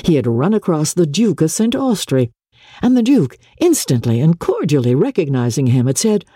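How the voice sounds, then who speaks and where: A woman reading a classic novel aloud as a dramatic audiobook narrator, in a measured, expressive way with deliberate phrasing. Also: deep voice, slightly rough, dry recording, clean studio sound.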